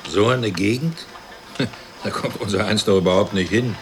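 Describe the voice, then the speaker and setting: An actor playing a self-satisfied, comfortable middle-class husband chatting in front of the television in a radio drama. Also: male voice, on the low side, normal speed, lightly acted. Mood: smug, amused. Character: smug, comfortable bourgeois husband watching television. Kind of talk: conversational radio drama acting.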